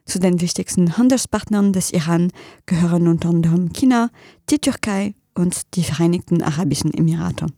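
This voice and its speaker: A woman's voice: on the low side, brisk, mellow, no echo. French-accented young woman on the radio, reads news then chats a bit hesitant, dry close mic. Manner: hesitant, searching for words, laughs easily. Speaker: news reader. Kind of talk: radio news reading and studio chat. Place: radio studio.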